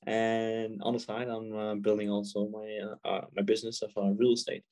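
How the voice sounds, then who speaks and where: A man speaking in a pre-recorded conversation, halting with many "uh" fillers. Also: lowish voice, monotone, darkish tone.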